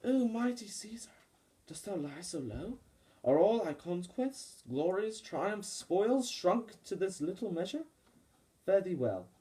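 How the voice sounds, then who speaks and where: A man's voice: sits high, very animated, a little slow, warm tone. A man playing a grieving, vengeful Roman nobleman in a puppet-show Shakespeare, declaiming verse with fervour. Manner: declamatory, impassioned.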